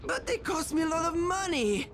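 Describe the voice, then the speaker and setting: Very high-pitched, slippery peddler. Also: male voice, big pitch swings, moderate pace, fairly bright tone, a little hiss or hum, exaggerated voice. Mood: evasive, nervous. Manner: theatrical, wheedling. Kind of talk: video game cutscene dialogue.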